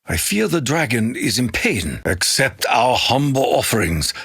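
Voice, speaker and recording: A spiritual, honor-bound Japanese warrior for a video game. His Japanese-accented voice is rough and gravelly, with animated swings in pitch. He makes solemn, formal declarations, recorded close and dry. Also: measured pace, no echo, exaggerated voice. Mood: solemn, reverent. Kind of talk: video game character voice lines.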